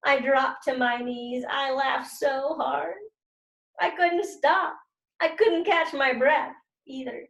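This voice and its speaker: A woman teacher reading to children and commenting as she goes, expressive and stressing key words. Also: fairly high pitch, reverberant.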